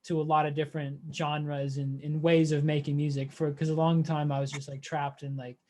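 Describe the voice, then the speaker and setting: Higher-pitched young man, casual interviewer. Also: level tone, dark tone, slight background noise. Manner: loose, halting, slangy. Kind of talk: casual chat.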